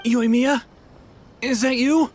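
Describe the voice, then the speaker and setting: A man's voice: extremely high pitch, steady tone, slow, noisy background. An actor playing a timid, apologetic young man in a video game, hesitant and deferential. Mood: anxious. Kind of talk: English video game voice acting.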